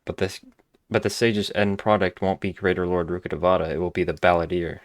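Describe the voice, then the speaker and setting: Male gaming host, low-pitched, informal thinking-aloud commentary, close dry mic, faint music behind. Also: steady tone, fairly quick, no room sound. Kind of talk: casual game commentary. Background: faint game music.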